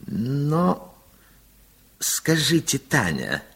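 An actor playing a sly, falsely kind official of forty who speaks in an insinuating way in a radio play. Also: male voice, mid-range voice, huge pitch swings, creaky, fairly bright tone, husky, exaggerated voice. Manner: slow, insinuating, feigned sympathy. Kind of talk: 1960s Soviet radio play acting.

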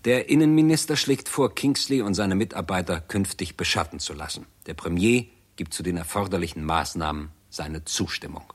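An actor narrating a 1960s German radio play in a sober, formal, report-like manner. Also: male voice, low voice, moderate pace, few pauses, breathy edge. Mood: sober, factual.

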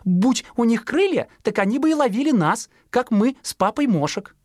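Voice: mid-range voice, lively intonation, moderate pace, darkish tone, no echo, clean studio sound, voice pushed up, natural voice. A narrator voicing an anxious, protective mother sparrow with fussy, chirping warnings in a children's storybook. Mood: explaining. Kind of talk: children's audio storybook character acting.

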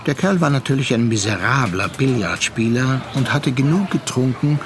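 An actor narrating a literary radio play as a seasoned seafarer, reflective and measured. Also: male voice, mid-range voice, lively intonation, noisy background, lightly acted. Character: seasoned male seafarer recounting a troubled younger friend's story. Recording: studio radio play with music underneath. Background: music.

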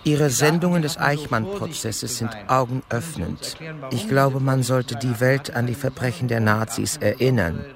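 Creaky-voiced earnest letter writer. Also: male voice, medium pitch, unhurried, a crowd in the background. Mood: earnest, concerned. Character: earnest radio listener reading out his letter. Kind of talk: radio play letter reading.

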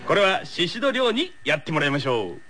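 A man's voice: a little high, sing-song, normal speed, over music. A man co-hosting a radio show with light banter and announcements.

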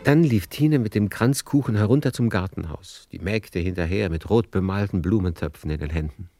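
A man narrating a literary radio play in a measured, lyrical storytelling manner. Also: low-pitched, heavy background noise.

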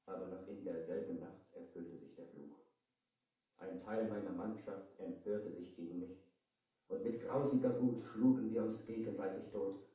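An actor playing a cursed, dying pirate captain in a 1970s German audio play, speaking slowly, solemnly and dramatically. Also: male voice, low voice, steady tone, mellow, natural voice. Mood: fierce, haunted. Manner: slow, solemn, dramatic delivery. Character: cursed dying pirate captain, brutal once, now grateful. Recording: cavernous echo, distant from the mic.

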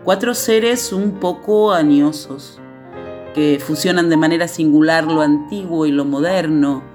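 A woman narrating a scripted radio play in a measured, literary, deliberate way. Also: low voice, a little mellow. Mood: measured, descriptive. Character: descriptive female storyteller narrating a radio play. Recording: guitar music under the voice. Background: plucked guitar music.

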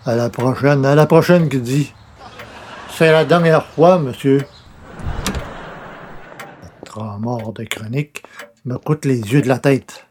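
An actor playing a polite, put-upon newspaper columnist with a Québécois accent, dryly ironic and hesitant, in a radio comedy serial. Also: male voice, mid-range voice, takes it slowly, pauses often, a little mellow, slight background noise, natural voice. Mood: exasperated, resigned. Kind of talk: comedy radio serial acting in 1940s manner.

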